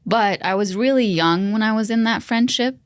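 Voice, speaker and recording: A woman's voice: medium pitch, moderate pace, no room sound, studio quality. A woman whose first language is Arabic, co-hosting a podcast and telling a personal story in a reflective, earnest way. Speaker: podcast co-host. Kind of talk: casual podcast conversation.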